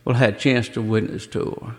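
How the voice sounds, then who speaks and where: A man's voice: lowish voice, steady tone, fast, long reverb tail. A man giving a folksy testimony at a church gathering, telling a story. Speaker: guest speaker, judicial candidate. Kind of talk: testimony speech.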